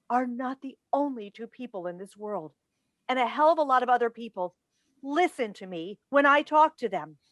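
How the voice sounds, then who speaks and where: A woman's voice: on the high side, a bit bright, dry recording. A woman playing a proud, defensive wife in a staged play reading, earnest in manner, recorded over a video call. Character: defensive, proud wife who hosts a talk show.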